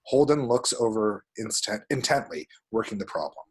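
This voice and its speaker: Guy reading out script action lines, lowish husky voice, table read narrator. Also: expressive, normal speed.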